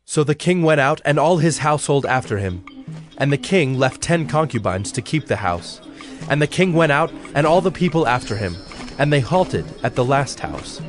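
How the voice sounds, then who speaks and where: A man narrating a dramatized audio Bible in a solemn, measured storytelling manner. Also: a little high, sing-song, faint music behind.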